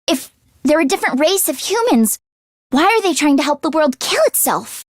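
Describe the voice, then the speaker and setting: An earnest teenage girl fighter from a video game, pitched very high and recorded dry and close. She is anxious but tech-minded. Her delivery is expressive, with a hesitant stammer. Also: normal speed, no echo. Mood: earnest, focused. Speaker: voice actress.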